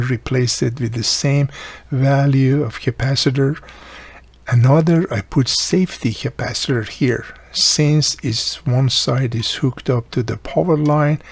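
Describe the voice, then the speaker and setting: Gravelly, husky man explaining step by step. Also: mid-range voice, dry recording. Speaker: host of a radio repair channel. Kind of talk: instructional video narration.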